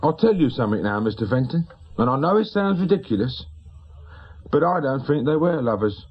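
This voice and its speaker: An actor playing a grieving, insistent widowed shopkeeper in a radio drama, with a working-class English accent and plain colloquial speech. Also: male voice, medium pitch, big pitch swings, a little fast, slightly rough, lo-fi sound. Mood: grieving, puzzled. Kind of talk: naturalistic radio drama acting.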